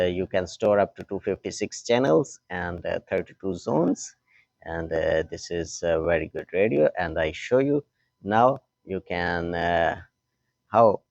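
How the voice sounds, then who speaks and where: A man presenting a hands-on, step-by-step technical tutorial, speaking instructionally in short, halting phrases. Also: lowish voice, highs cut off. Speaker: tech tutorial host.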